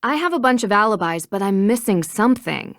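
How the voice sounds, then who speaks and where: A woman's voice: medium pitch, expressive, dry recording, studio quality, natural voice. A young woman with an American accent playing an earnest, persistent amateur detective in a video game. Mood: earnest, pondering. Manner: polite, measured questioning.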